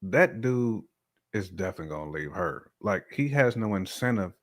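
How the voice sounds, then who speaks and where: A man co-hosting a casual relationship podcast, talking in slang with emphatic, animated opinions. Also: sits low, normal speed. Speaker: podcast co-host.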